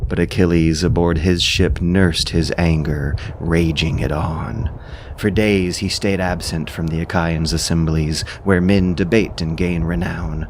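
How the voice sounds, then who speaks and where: A man giving a solemn, measured, dramatic narration of an ancient epic as a storyteller. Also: low-pitched, fairly even intonation, a little mellow, a little hiss or hum.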